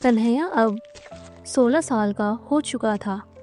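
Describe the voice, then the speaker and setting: A woman narrating a scripted moral story in a measured, dramatic storytelling manner. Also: fairly high pitch, mellow, faint music behind.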